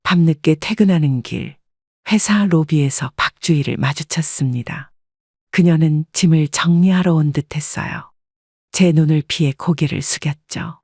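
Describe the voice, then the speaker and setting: Husky woman narrating an audiobook. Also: sits low, a little mellow, no echo, clean studio sound. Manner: measured, polite storytelling. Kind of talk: scripted first-person story narration.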